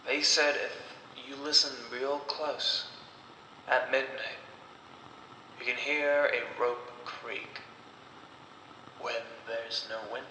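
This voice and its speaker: Man narrating as grave condemned woman, slow, echoing. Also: medium pitch, flat delivery, bright, forceful tone. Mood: grim, defiant. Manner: slow, grave, deliberate pauses. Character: bitter, defiant condemned woman recounting her hanging. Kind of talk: slow dramatic horror storytelling. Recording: echoey, long reverb, background noise.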